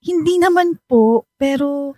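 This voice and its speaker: An actress playing an affectionate, supportive daughter in a radio drama. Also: female voice, sits high, takes it slowly, warm tone, no room sound, studio quality.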